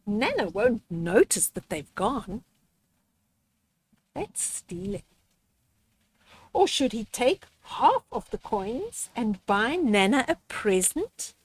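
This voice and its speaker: An older woman reads a children's story aloud with a high, swooping voice. She takes it very slowly, pausing to speak straight to young listeners. Her manner is gently instructive, as in a picture-book lesson. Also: very animated, husky. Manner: gentle didactic, addressing young children directly. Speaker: storyteller reading children's books. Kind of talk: read-aloud picture book with moral commentary.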